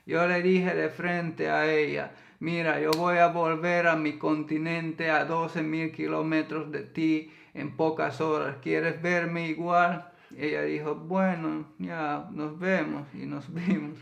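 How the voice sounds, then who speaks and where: Guy, slow flat careful spanish, gravelly, echoey room. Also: a little high. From Finland. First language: Finnish. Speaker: co-host of a couple's channel. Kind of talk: casual storytelling vlog.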